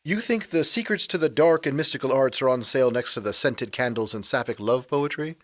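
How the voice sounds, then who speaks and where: A man's voice: mid-range voice, fairly quick, fairly bright tone, no echo, lightly acted. A man playing a snide vampire sidekick in a comedic audio drama, with a dry, cutting, sarcastic delivery. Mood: scornful. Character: snide, sardonic male vampire monster sidekick.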